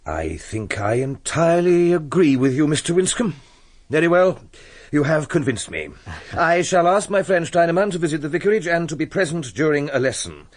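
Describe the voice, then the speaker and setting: An actor playing a wealthy, shrewd English patron of music in a radio drama, genteel and authoritative with a brisk, probing manner. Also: male voice, on the high side, very animated. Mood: magnanimous.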